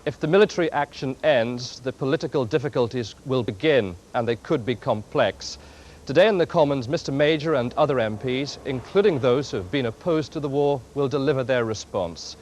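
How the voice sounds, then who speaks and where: A man delivers a live political report. His voice is average in pitch, and he speaks in the structured style of a television news correspondent. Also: lively intonation, fairly quick, darkish tone, slight background noise. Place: outdoors on a London street.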